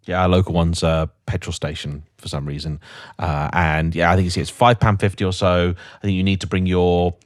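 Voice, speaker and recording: A man's voice: low voice, level tone, brisk, no room sound, studio quality. A British man co-hosting a podcast in casual, chatty storytelling style, acting out bits of dialogue. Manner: chatty, quotes imagined dialogue. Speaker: podcast co-host. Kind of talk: casual chat, anecdotal storytelling.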